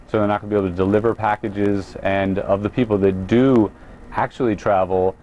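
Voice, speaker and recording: A man with an American accent answering as an executive guest in a news interview, explaining things in a hesitant, halting way. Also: on the low side, a little mellow. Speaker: company president, interview guest.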